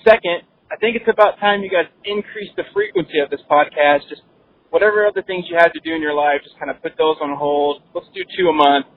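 Guy leaving a jokey voicemail, slightly high voice, sounds like a tinny phone. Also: fairly quick, slight background noise. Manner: jokey, making playful requests. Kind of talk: recorded voicemail message.

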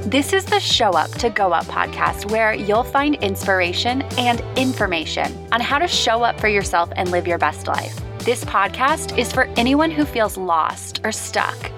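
A woman's voice: medium pitch, animated, normal speed, over music. A woman hosting an inspirational podcast, talking earnestly and encouragingly about faith. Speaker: podcast host. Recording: recorded as a live video.

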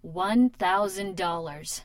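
A woman's voice: lowish voice, slow pace, no echo, clean studio sound. A woman playing a nervous young female tattoo artist in a radio drama, informal and self-conscious, both narrating and in dialogue. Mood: confiding, wry. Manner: informal, hesitant in dialogue, confiding when narrating. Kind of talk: radio drama acting with first-person narration.

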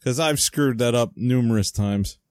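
A man co-hosting a casual podcast, asking curious questions in an easygoing way. His pitch is middling, and the sound is dry and close. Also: moderate pace, no echo. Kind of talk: casual chat.

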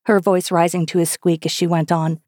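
A woman's voice: on the low side, little pitch movement, fairly quick, darkish tone, no room sound, studio quality. A woman reading a children's story aloud as an audiobook narrator. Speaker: audiobook reader.

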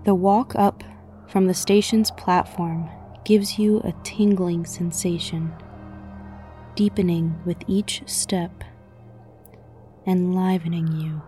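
A woman narrating a scripted guided meditation in a soothing, measured way with frequent pauses, her voice soft and breathy, often near a whisper. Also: on the low side, fairly even intonation. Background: music.

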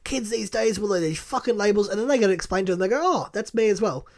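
A man's voice: high-pitched, expressive, fast talker, darkish tone. A man co-hosting a podcast, chatty and riffing in casual talk, with the odd swear. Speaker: podcast co-host.

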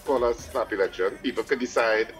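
A man's voice: medium pitch, faint music behind. A man co-hosting a breakfast radio show, conversational and questioning. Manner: conversational, questioning, drawn-out fillers. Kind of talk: casual studio banter. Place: radio studio.

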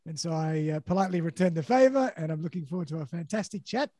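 A man's voice: fairly high pitch, animated, fast, warm tone, no echo, studio quality. A man hosting a podcast interview, upbeat and full of praise for his guests. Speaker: podcast host.

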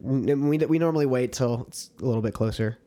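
A man's voice: mid-range voice, fairly quick, dark tone. A young man co-hosting a podcast in casual chat, easygoing and agreeable, laughing along with his friend. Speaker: podcast co-host.

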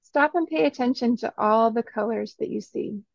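A woman leading a guided meditation in a soothing, instructional way, in short phrases with long pauses between them. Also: fairly high pitch, vocal fry, no room sound, muffled recording.

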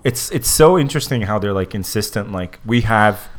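A man's voice: fairly low pitch, expressive, normal speed. A man hosting a casual podcast, reading news aloud with wry asides and frequent laughter. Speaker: podcast host.

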